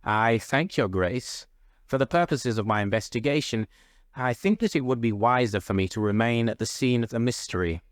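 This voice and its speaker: A composed gentleman detective voiced by a man in a storybook reading. He is polite, precise and bland, with an average male pitch and a dry sound. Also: normal speed, no echo, natural voice. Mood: courteous.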